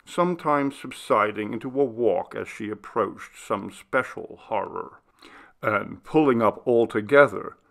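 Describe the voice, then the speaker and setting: A man narrating a classic gothic story as an audiobook, in a measured, expressive literary manner. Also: on the low side, gravelly.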